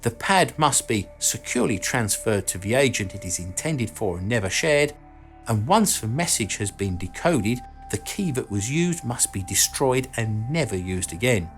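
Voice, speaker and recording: A man reading a scripted explainer narration in a measured, explanatory way. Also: lowish voice, big pitch swings. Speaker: documentary channel narrator and host. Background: background music.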